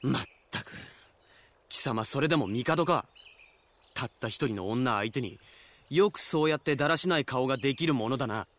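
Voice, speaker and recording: Haughty, sneering nobleman, mid-pitched man acting. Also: lively intonation, moderate pace, a bit bright, dry recording, lightly acted. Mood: exasperated. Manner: imperious, sneering, archaic formal speech. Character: haughty, scornful, prickly nobleman stripped of rank. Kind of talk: Japanese audio drama acting.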